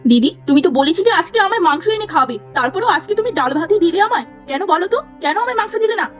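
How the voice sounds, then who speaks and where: Woman as a high-pitched, whining spoiled younger sister. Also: expressive, fast talker, soft background music. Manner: whining, scornful. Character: spoiled, demanding younger sister, vain and selfish.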